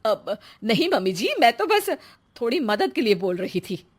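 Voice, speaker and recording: A woman voicing a middle-aged mother-in-law in an animated story, bossy with juniors and meek before elders. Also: fairly high pitch, normal speed. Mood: meek pleading. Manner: flips between pleading and ordering. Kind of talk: animated moral story acting.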